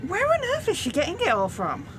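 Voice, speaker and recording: A woman's voice: sits high, wildly swooping pitch, fast, over music. A woman playing a curious, suspicious wartime factory worker in an amateur radio play, eager and gossipy.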